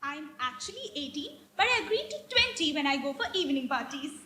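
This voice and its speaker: A woman plays a sweet young Victorian lady of eighteen in a stage comedy. Her voice is very high and politely eager. The recording is echoey and noticeably noisy. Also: sing-song, a little fast. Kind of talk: theatrical stage comedy acting.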